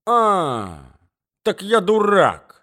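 A man voicing an indignant, wounded young gentleman in a dramatic reading of a story, with exclamatory, emphatic speech. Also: medium pitch, animated, normal speed, lightly acted. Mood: furious, threatening. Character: enraged, wounded young gentleman, rejected suitor.

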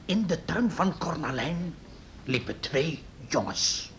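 A deferential town sheriff in a radio play, hesitant and cautious with his superior. He talks slowly with a very animated rise and fall, over faint rumbling noise. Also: heavily acted. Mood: earnest, confidential. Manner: obsequious, hesitant. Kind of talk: Dutch radio drama acting.

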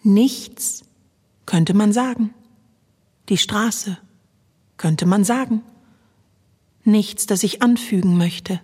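A woman performing a literary poetry recitation in a measured, deliberate way with dramatic pauses. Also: mid-range voice, darkish tone, husky, no room sound, studio quality. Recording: music behind the voice. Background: music.

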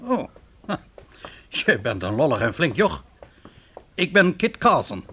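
Bright-voiced, brusque frontier trapper. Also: male voice, mid-range voice, wildly swooping pitch, a little slow, a little hiss or hum, heavily acted. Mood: gruff, questioning. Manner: brusque, urgent, giving orders. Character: gruff, commanding frontier trapper, grown man. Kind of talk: Dutch radio play acting.

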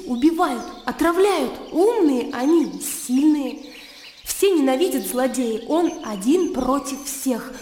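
An actress playing a naive, blunt young country gentlewoman in a Russian radio drama, opinionated and emotional. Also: high voice, a little hiss or hum. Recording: roomy long reverb with music behind.

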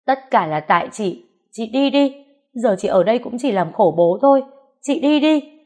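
Woman reads a distraught little girl, shrill and blaming, storybook drama. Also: expressive, moderate pace, a little mellow, natural voice. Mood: wailing. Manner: wailing, accusing. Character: angry grieving younger sister, a child.

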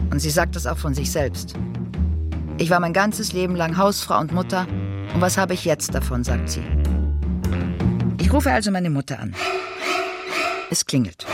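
An actor playing a grown child who confronts their mother in a literary radio monologue, with deliberate, repetitive phrasing. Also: medium pitch, natural voice. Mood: reflective, wry, then urgent.

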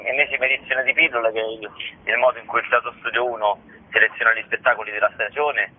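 A man's voice: mid-range voice, flat delivery, fast, slightly rough. A man speaking as a theatre representative and guest in a phone interview. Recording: narrow, tinny phone line.

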